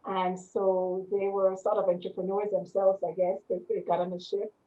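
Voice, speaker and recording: A woman in her sixties from Panama speaks with a West Indian accent. She talks at an everyday pitch, rambling and laughing often as a podcast guest. Her voice comes through a narrow, tinny phone-like line. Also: animated, moderate pace, mellow. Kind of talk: casual interview.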